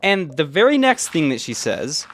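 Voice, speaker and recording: High-pitched young man telling personal stories. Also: sing-song, moderate pace, a bit bright, dry recording, studio quality. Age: 20s. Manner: rambling, conversational, frequent self-quoting. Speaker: YouTuber. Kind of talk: casual personal storytelling.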